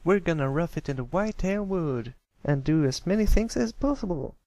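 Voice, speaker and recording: An eager, friendly little cartoon filly, performed by a man. The voice sits well above a normal male pitch and bounces around with persuasive enthusiasm. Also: expressive, normal speed, vocal fry, darkish tone, dry recording.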